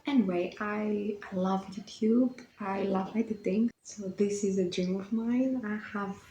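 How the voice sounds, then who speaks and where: A woman's voice: mid-range voice, steady tone, measured pace, dark tone, roomy sound, highs cut off, slight background noise. A Greek woman in her twenties with a Greek accent, speaking English as a second language, chatting casually to camera about books, a bit self-conscious and laughing often. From Greece. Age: 20s. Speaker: book vlogger.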